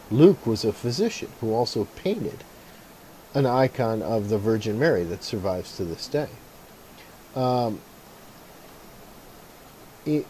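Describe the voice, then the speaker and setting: A man's voice: on the low side, mellow, a little hiss or hum, rough recording. A man talking casually and reflectively about religion, rambling with many fillers and restarts. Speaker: video maker. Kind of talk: casual talk to camera.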